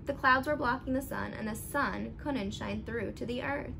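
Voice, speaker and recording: A young woman reading a picture book aloud expressively, with animated, deliberate emphasis for young listeners. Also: on the high side. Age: young adult. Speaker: storybook reader. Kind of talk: expressive children's read-aloud. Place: living room at home. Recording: clearly noisy home recording.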